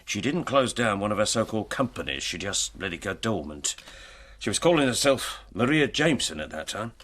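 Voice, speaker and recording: Chatty retired detective, very expressive low voice. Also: male voice, a little fast. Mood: wry, reminiscing. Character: genial retired police detective, chatty, wryly self-assured. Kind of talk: naturalistic radio drama acting.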